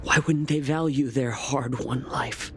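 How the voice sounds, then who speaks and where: An actor playing an embittered, grieving but resolute fox healer in a video game, with deliberate dramatic pauses. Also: male voice, mid-range voice, steady tone, a little hiss or hum, lightly acted. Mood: sorrowful, bitter.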